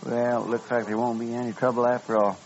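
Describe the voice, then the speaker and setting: An actor playing a folksy, eager deputy sidekick with a rural American accent in a 1950s radio western. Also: male voice, mid-range voice, level tone, fast, lightly acted. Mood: earnest. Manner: folksy, deferential, rambling. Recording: dull old radio recording, faint music.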